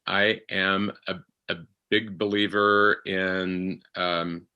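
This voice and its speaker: A man speaking haltingly and reflectively about himself in a podcast discussion, an actor on the panel. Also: low-pitched, little pitch movement, smooth, lo-fi sound. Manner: hesitant, halting.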